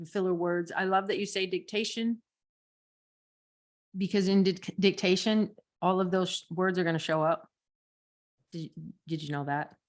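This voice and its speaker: A woman co-hosting and coaching on a podcast in casual, giggly conversation, repeating words for emphasis. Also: fairly low pitch. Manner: giggly, laughs often between phrases. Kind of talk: casual podcast teaching chat.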